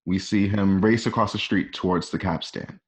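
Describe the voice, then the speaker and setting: A man's voice: low-pitched, fairly even intonation, normal speed. A man reading scripted scene directions as the narrator of a radio play.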